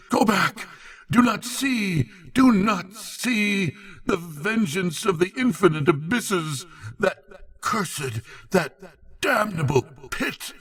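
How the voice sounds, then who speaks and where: A man's voice: sits high, fairly bright tone, reverberant, voice pushed up, full character voice. A man voicing a zealous wise old man in a storybook, crying out declamatory, archaic exclamations. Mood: terrified. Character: shrieking zealous old wise man, exultant turning terrified. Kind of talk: dramatic storybook character reading.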